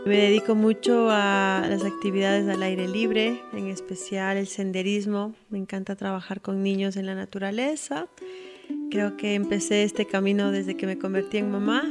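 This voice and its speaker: A woman in her thirties with a Quito accent, an outdoor guide speaking in an unhurried, reflective way and pausing on fillers. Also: mid-range voice. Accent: Ecuadorian Spanish, Quito. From Ecuador. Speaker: outdoor guide and tour operator founder. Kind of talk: personal self-introduction.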